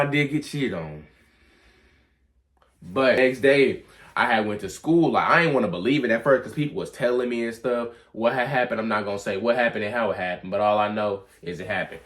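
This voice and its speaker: A man's voice: lowish voice, moderate pace, a bit bright. A young adult man telling a personal story in a casual, rambling, slangy way. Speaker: vlog storyteller.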